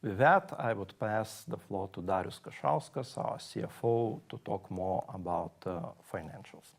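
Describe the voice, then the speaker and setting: A man presenting as an executive on an earnings call, speaking haltingly with frequent pauses. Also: on the low side, gravelly. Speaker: company executive.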